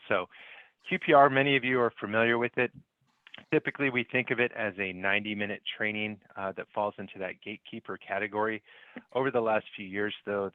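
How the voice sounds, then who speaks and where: A male trainer explains his material in a relaxed, conversational way, with plenty of fillers. His voice is fairly low, and the audio sounds thin and phone-like, with no room echo at all. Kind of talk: webinar presentation. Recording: dry, tinny phone-like line.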